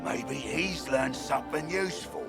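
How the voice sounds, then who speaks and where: A man's voice: medium pitch, a little slow, gravelly. An actor playing an earnest, worried male guard in a video game, speaking expressively. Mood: earnest, concerned. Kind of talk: video game character acting. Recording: music behind the voice.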